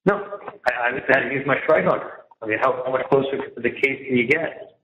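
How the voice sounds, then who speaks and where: An exasperated man talks at length on a casual phone call, circling back and repeating himself. The recording is roomy and muffled, with faint noise behind the voice. His pitch sits in the middle. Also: a little fast. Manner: exasperated, repetitive, recounting at length. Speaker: prison inmate on a phone call. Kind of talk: casual phone conversation, venting. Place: prison, on a recorded phone line. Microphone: telephone handset.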